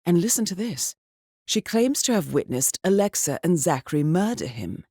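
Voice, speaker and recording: A composed British female spy handler in a video game, speaking fairly low with lively, varied intonation. She gives formal, precise mission briefings to an assassin, laced with dry understatement. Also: normal speed, breathy edge, no echo. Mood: composed, businesslike.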